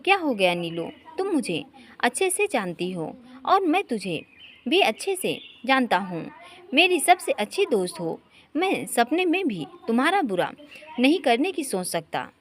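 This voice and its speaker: A playful, familiar man, as voiced by a woman narrator in a romantic audio story. He speaks with lively swings of pitch, teasing and affectionate like an old college friend. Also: a little slow. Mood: placating.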